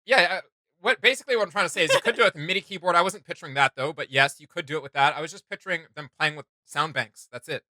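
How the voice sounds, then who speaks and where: A man in his thirties with a somewhat high, very bright and forceful voice co-hosts a reaction show. He rambles enthusiastically in casual conversation, sounding close and conversational. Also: very fast, no echo.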